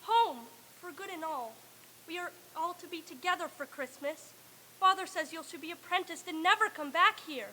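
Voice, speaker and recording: A woman playing an eager, affectionate little sister, excited and girlish in a radio-play reading. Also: very high voice, normal speed, slight background noise, rough recording. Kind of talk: old-time radio stage reading.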